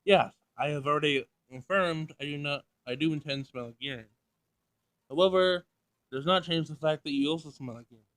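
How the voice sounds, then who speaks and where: A man's voice: fairly high pitch, fairly quick, a bit bright, dry recording, natural voice. Visual novel android, read by a man: female machine, literal-minded, formal and emotionless in delivery. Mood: clinical, blunt. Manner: pedantic, clinical, formal wording.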